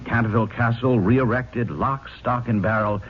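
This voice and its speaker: An actor playing a polite, earnest young aristocratic fiancé, articulate and courteous, in old-time radio drama style. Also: male voice, medium pitch, fairly even intonation, normal speed, lightly acted. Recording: muffled old radio broadcast, faint noise.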